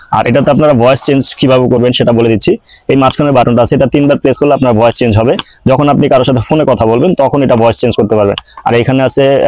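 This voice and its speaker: Fast-talking Bangladeshi man presenting products. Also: medium pitch, a little mellow, dry recording. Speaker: online shop product presenter. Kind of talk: informal product demo sales pitch.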